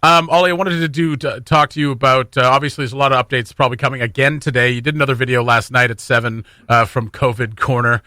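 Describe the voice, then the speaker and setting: A Canadian man chats as a radio host with a bright, punchy voice at a middle pitch. He is casual and jokey, repeating himself for emphasis, close to the microphone in a very dry studio. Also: steady tone, fast talker, hardly any pauses, no room sound. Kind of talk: casual morning radio chat.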